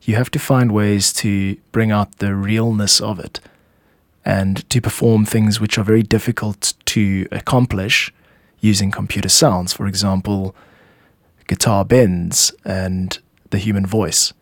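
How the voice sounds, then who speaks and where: A man with a fairly low voice presents a music podcast as its host and composer. He explains in measured, instructive phrases with deliberate pauses. The sound is close and dry, as if in a quiet studio. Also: flat delivery, no room sound.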